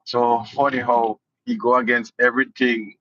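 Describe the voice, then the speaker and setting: A man's voice: mid-range voice, level tone, normal speed, fairly bright tone, no echo. A Jamaican man giving casual political commentary, indignant and sarcastic. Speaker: vlogger. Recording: dull lo-fi dry sound.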